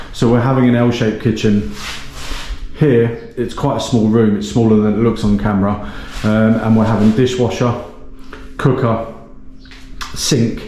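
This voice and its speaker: A man's voice: fairly low pitch, warm tone, slight background noise. A man hosting a renovation channel, giving a casual walkthrough tour of a gutted small cottage with plenty of ums and self-corrections. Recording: echoey bare rooms.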